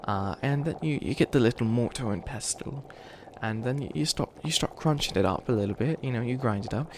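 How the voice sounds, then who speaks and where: A man's voice: medium pitch, a little fast, lightly acted. A man voicing a flustered, youthful bunny witch who wants to be evil, stammering and rambling defensively in a comedic ASMR roleplay. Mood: fussy, rambling. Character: flustered 853-year-old youthful bunny witch, harmlessly wannabe evil. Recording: clearly noisy ambience behind voice.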